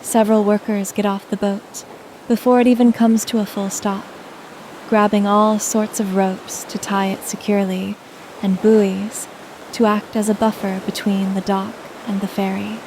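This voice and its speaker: A woman narrating a scripted guided sleep meditation in a soothing, gentle manner. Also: mid-range voice, little pitch movement, moderate pace, background noise.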